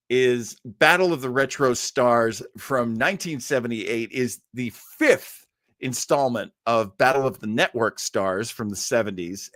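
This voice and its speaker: A man hosts a casual livestream in a middle-pitched voice. He rambles chattily to his viewers, stopping and starting as he goes. The sound is dry, with very little room echo. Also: fairly bright tone. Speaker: host of a livestream show.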